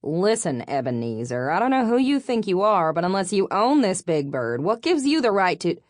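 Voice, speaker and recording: A woman's voice: deep voice, big pitch swings, unhurried, a little mellow, dry recording. A sassy best-friend type from an audiobook, voiced by a female narrator. She is a young woman with a teasing, blunt streak. Her speech is informal and punchy, in a dry recording. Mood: indignant.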